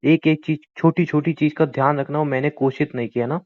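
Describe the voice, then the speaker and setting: A man's voice: a little high, steady tone, fairly quick, dry recording, muffled recording, lightly acted. A man acting a wounded, pleading young boyfriend. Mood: bitter, wounded. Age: young adult. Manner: pleading, insistent, bitter. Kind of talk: naturalistic audio drama of a couple's call.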